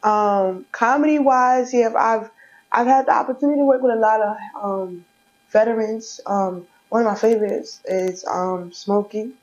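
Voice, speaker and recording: A young woman talks frankly as a comedian guest. Her voice sits a little high and her talk is streetwise and easy. The audio sounds dull and lo-fi. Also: fairly even intonation, moderate pace, a little mellow. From Brooklyn, New York. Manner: frank, streetwise, laughs often. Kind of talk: casual interview chat.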